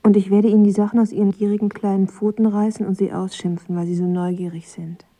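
A woman's voice: mid-range voice, little pitch movement, quick pace, warm tone, lightly acted. An actress playing a reserved, wistful young woman in a radio drama, understated and introspective. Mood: wistful, reflective. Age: young adult. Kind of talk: radio drama dialogue and letter reading.

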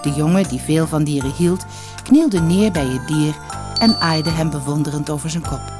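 A woman's voice: sits low, normal speed, over music. A woman narrating a scripted fairy tale as an audiobook storyteller.

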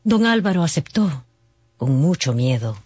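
A man's voice: a little high, very animated, measured pace, darkish tone, breathy edge, dry recording. A man narrating a scripted radio drama as a storyteller.

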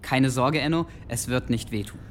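An actor playing an eager, earnest young monk who explains things carefully in a radio drama. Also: male voice, mid-range voice, moderate pace, slight background noise, natural voice. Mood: careful, reassuring.

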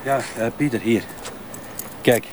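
A man's voice: medium pitch, a little slow, background noise, lightly acted. A man playing a matter-of-fact police detective with a Flemish accent in a detective drama. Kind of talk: naturalistic detective drama dialogue.

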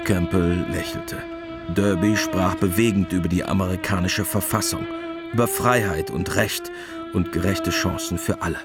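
A man narrating a radio drama from a script in a measured, matter-of-fact way. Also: deep voice, wildly swooping pitch. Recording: music behind the voice.

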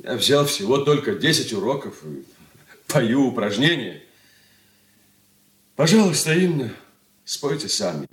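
An actor playing a polite, self-conscious grown son of a merchant family in a radio stage drama. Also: male voice, mid-range voice, sing-song, a little slow, pauses often. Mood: polite, flustered. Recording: roomy 1980s radio play recording.

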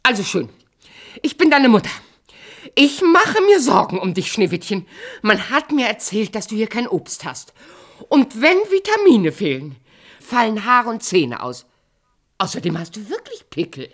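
A woman's voice: fairly high pitch, very animated, unhurried, heavily acted. An actress playing a sly, evil queen posing as a fruit seller in a fairy-tale radio play, theatrical, wheedling and falsely caring.

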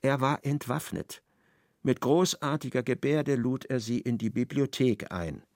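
A man narrating a radio play in an ironic, expressive storytelling manner. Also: medium pitch, unhurried, dry recording, studio quality.

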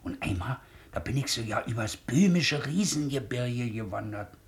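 An actor playing a kindly, weary middle-aged ex-convict shoemaker, gentle and colloquial, in a 1950s radio play. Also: male voice, medium pitch, expressive, moderate pace, close to an everyday voice. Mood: gentle, reassuring. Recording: 1950s radio drama recording.

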